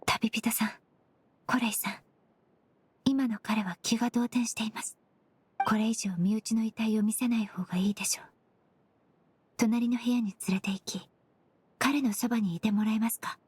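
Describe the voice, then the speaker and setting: A woman playing a composed young female investigator in an anime game, speaking with gentle, formal, measured politeness. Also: a little high, fairly even intonation, slightly rough. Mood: composed, reassuring.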